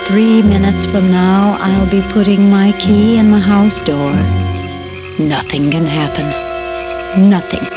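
An actress playing a frightened woman alone at night, tense and halting as she talks to herself in a radio suspense drama. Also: medium pitch, fairly even intonation, over music, lightly acted. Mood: self-reassuring. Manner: tense, halting, talking to herself, at times whispering. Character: frightened woman walking alone at night, fighting rising panic. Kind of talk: 1940s radio suspense acting. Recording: muffled, noisy old radio recording.

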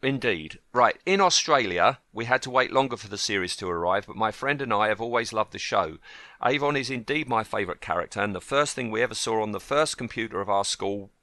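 A man's voice: on the low side, lively intonation, fairly quick, pushed and bright, no echo. A man co-hosting a fan podcast in casual chat, reading out a listener's letter and often asking his partner to agree. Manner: chatty, often asks his co-host for agreement. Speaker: podcast co-host.

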